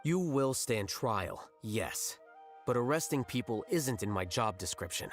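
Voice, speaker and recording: An actor playing a rational, blunt young scholar in a video game, speaking in a measured, detached, matter-of-fact way. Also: male voice, mid-range voice, expressive, soft background music. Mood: cool, blunt. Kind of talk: English video game voice acting.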